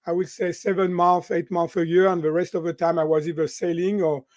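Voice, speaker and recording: A man in his sixties from France with a French accent, a guest in an interview, talking in an animated way and often ending sentences with "right?". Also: high-pitched, brisk, no echo, studio quality. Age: 60s. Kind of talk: interview conversation.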